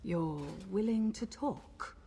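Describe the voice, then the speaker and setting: A woman's voice: medium pitch, slow, mellow. A woman playing a defiant, scornful sea elf warrior in a video game. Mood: scornful, guarded. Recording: echoing cave reverb, noisy game ambience.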